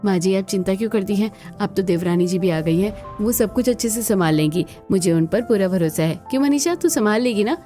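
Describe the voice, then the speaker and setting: A woman's voice: medium pitch, expressive, a little fast, hardly any pauses, dark tone, faint music behind, lightly acted. A woman voicing a kindly, trusting young mother and elder daughter-in-law in a family cartoon, affectionate and reassuring. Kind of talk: Hindi family cartoon voice acting.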